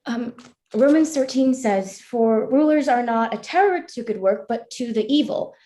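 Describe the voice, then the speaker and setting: A woman's voice: fairly high pitch, animated, normal speed, warm tone, close to an everyday voice. A woman playing an earnest, devout young woman, speaking formally in a live staged play reading. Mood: firm, devout. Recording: roomy, echoing voice pickup.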